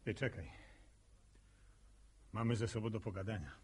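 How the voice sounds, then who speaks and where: An actor playing a proud, resentful village farmer in a radio drama, blunt and sardonic. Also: male voice, lowish voice, normal speed, slightly rough. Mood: menacing.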